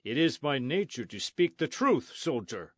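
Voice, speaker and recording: A man voicing a stern, fearless old friar in his sixties with a deep voice. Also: very animated, a little slow, no echo, studio quality. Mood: defiant. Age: 60s.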